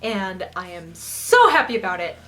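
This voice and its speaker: Bright-voiced young woman with a lisp. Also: mid-range voice, normal speed, faint music behind, low-quality recording. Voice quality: slight lisp. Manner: bubbly and dramatic, squeals with excitement. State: allergies, sniffly and a bit rough. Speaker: goth music reviewer. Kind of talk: casual album review vlog.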